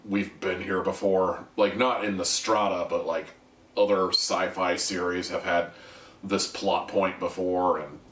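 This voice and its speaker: A man's voice: sits low, a bit bright. A man giving a casual, candid review monologue to listeners, with conversational asides. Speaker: audio drama reviewer. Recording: echoey room, distant microphone.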